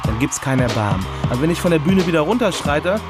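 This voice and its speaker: An actor playing a brash battle rapper in a radio play, talking in emphatic slang. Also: male voice, a little high, sing-song, quick pace. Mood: indignant, emphatic. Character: brash male battle rapper defending his stage rivalry. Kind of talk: German radio play monologue. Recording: loud music behind the voice.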